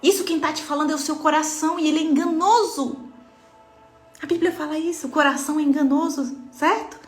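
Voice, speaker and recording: A woman's voice: high-pitched, steady tone, brisk. A woman with a Brazilian Portuguese accent, a mentor giving an inspirational faith talk in an earnest, emphatic way, speaking straight to her listeners. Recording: echoey room with faint music.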